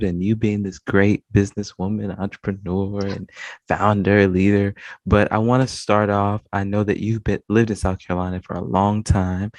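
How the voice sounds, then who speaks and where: A man hosts an interview podcast. His voice sits quite low and is recorded close and dry, with very little room sound. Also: no echo. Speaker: podcast host. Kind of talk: scripted intro, then conversational interview.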